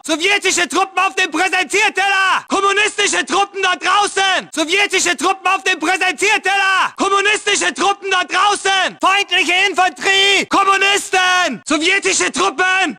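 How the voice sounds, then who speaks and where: An actor playing an aggressive soldier in a shooter game, barking short, urgent combat callouts. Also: male voice, very high-pitched, bright, forceful tone, full character voice. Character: aggressive male German Second World War soldier. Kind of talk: shouted battlefield barks in a war shooter.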